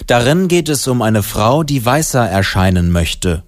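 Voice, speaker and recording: A man's voice: medium pitch, very animated, measured pace, dry recording, clean studio sound. A man narrating a scripted radio report as the reporter.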